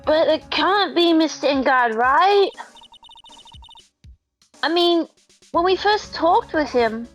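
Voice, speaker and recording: A woman's voice: sits high, expressive, slow, long pauses, lo-fi sound, soft background music. A woman voicing a sweet, anxious little girl in a video game dub, with a timid, hesitant manner. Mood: worried.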